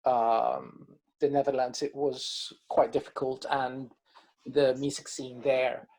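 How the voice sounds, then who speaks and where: Man, hesitant and halting. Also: medium pitch, flat delivery, a little mellow. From Caribbean coast of Colombia. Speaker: band musician being interviewed. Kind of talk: casual interview chat.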